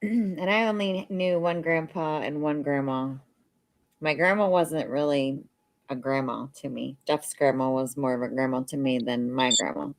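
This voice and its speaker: Woman, mid pitch, reflective, dry close-miked sound. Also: steady tone, moderate pace, dry recording. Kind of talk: casual chat.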